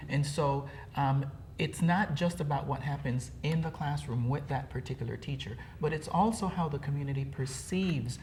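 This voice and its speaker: Man, Costa Rican Spanish accent, middle-aged, measured, lots of ums, interview guest. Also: medium pitch, darkish tone, slight background noise. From Costa Rica. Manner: measured, explanatory, frequent fillers. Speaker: program director guest.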